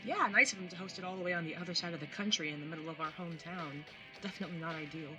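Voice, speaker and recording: A woman's voice: fairly low pitch, rapid-fire, over music, close to an everyday voice. A young woman playing a caring, slightly awkward and wry older sibling in a casual audio drama. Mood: relaxed, tentative. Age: young adult. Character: awkward, caring older sibling, early twenties, tattooed, teasing. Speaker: narrator and lead character. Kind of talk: audio drama acting with first-person narration.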